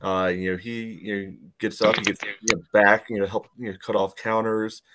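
A man's voice: lowish voice, little pitch movement, normal speed, noisy background. A middle-aged man rambling casually about sports on a video call. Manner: rambling, peppered with "you know". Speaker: sports podcast panelist. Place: indoor room on a video call. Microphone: video call microphone.

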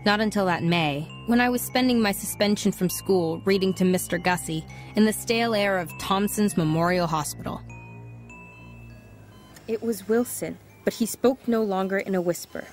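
A woman's voice: mid-range voice, lightly acted. A woman voicing a wayward teenage girl who narrates and talks to an old man, hesitant and apologetic in scenes, in an audio drama.

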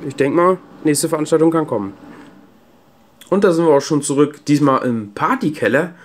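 A man's voice: on the high side, expressive, normal speed. A man giving casual, off-the-cuff vlog commentary as a sound technician, in informal colloquial speech. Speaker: video host and PA sound technician. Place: indoor venue rooms and cellar. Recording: background hum and room noise.

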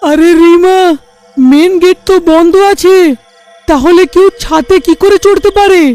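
Male actor as scared young villager, very high pitch, dramatic exclamations, cartoon style, faint music underneath. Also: a little mellow. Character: frightened young village husband in a ghost cartoon. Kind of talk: cartoon voice acting.

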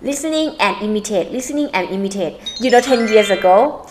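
A woman in her thirties with a Thai accent, teaching a casual lesson to camera in an animated way and repeating words. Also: mid-range voice, fairly quick, background noise. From Thailand. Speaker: language teacher. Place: indoor room with a holiday tree.

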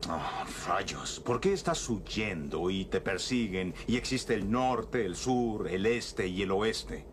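Taunting lawman, low pitch, noisy recording with vehicles. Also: expressive, takes it slowly. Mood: gruff. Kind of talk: film dub acting.

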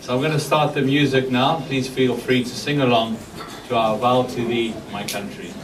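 A man in his forties speaking informally and haltingly to a gathering as he officiates at a memorial service. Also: medium pitch, level tone. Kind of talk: informal announcement to a congregation. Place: large crowded wood-panelled clubhouse hall, indoors. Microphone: gooseneck podium microphones. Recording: echoey room, distant mic, background noise.

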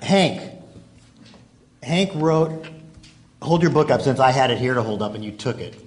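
A man's voice: mid-range voice, lively intonation, measured pace. A man hosting an informal interview panel on stage in front of a live room. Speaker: panel host. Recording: faintly noisy live stage recording.

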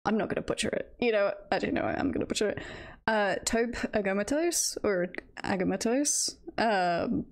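A woman livestreamer chatting casually and telling stories in a giggly, self-deprecating, halting way. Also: mid-range voice, expressive. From United Kingdom. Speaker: livestreamer, VTuber host. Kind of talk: casual livestream chat, storytelling.

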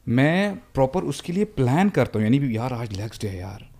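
A man in his thirties, a podcast guest who loves the gym, talking earnestly and good-humouredly, with English phrases mixed in, on a studio boom mic. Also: sing-song, moderate pace, darkish tone. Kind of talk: casual podcast chat. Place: indoor studio set. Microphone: studio mic on boom arm.

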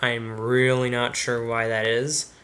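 A man's voice: mid-range voice, level tone, slow, a bit bright. A young man hosting a tech channel, casually talking through each step of a computer install as he does it. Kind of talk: screen-recorded tutorial narration. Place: bedroom studio with computer screens behind.

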